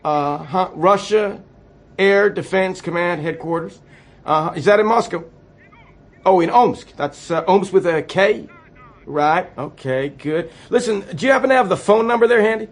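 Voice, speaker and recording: An actor playing a meek, apologetic American president, hesitant and awkwardly chummy, in a satirical radio play phone call. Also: male voice, high voice, moderate pace. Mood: placating.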